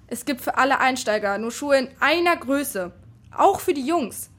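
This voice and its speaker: A woman's voice: high voice, expressive, moderate pace, a bit bright. A woman playing an eager schoolgirl double agent in a children's radio play, earnest and insistent.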